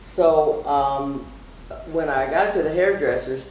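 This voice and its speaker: A woman's voice: low voice, slow, a little mellow. A middle-aged woman telling personal stories and giving advice casually and emphatically, repeating phrases for stress. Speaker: mother, guest on her daughter's vlog. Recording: very echoey room, distant mic, faint noise.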